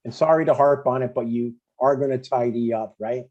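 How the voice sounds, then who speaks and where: A man's voice: medium pitch, fairly quick, a little mellow, close to an everyday voice. An older man playing a blunt, stubborn but affectionate father in a comedy table read, over dull stream audio. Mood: casual, stubborn. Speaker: amateur actor.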